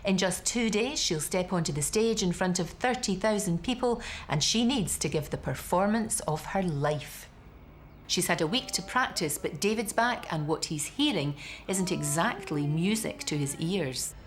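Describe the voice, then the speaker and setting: A woman giving measured, dramatic scripted narration for a documentary. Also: lowish voice, animated, a little hiss or hum.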